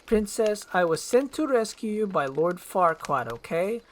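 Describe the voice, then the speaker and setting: A woman's voice: medium pitch, animated, unhurried, lightly acted. A woman voicing a grumpy, sarcastic male ogre in an amateur screenplay reading, speaking bluntly. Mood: annoyed, blunt.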